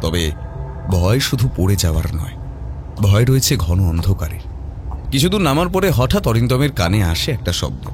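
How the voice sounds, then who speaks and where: A man's voice: lowish voice, big pitch swings, moderate pace, a little mellow. A man narrating a horror mystery story in a dramatic, suspenseful, theatrical way. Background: prominent background music.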